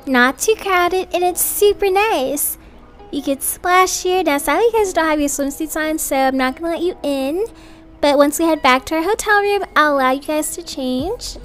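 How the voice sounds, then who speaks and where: A woman's voice: high voice, moderate pace, a bit bright, soft background music. A woman playing an upbeat, chatty young mother in an improvised family roleplay, casual, reassuring and gushing.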